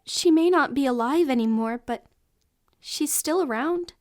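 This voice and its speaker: A woman playing a gentle, earnest young friend who speaks softly and reassuringly in an audio drama. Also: sits high, unhurried, dry recording, studio quality. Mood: earnest comfort.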